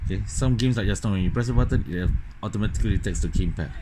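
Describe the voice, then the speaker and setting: A man's voice: fairly low pitch, very fast, background noise. A man from Singapore with a Singaporean English accent, casually demonstrating a phone gamepad and thinking aloud with lots of okays and fillers. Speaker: gadget reviewer. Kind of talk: casual hands-on product demo. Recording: loud game music behind. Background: loud game music.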